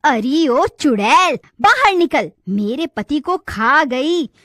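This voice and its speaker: A woman's voice: high voice, big pitch swings, slow pace, a bit bright, no echo, studio quality, voice pushed up, exaggerated voice. A woman voicing a bold, feisty village wife in a cartoon story. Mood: defiant. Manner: bold, defiant. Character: brave, feisty village wife defending her husband.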